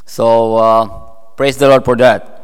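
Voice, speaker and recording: Slow Filipino-accented preacher in a reverberant hall. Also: male voice, medium pitch, little pitch movement, clear, resonant tone. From Philippines. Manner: halting, with many fillers and restarts. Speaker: pastor. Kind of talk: sermon. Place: church hall.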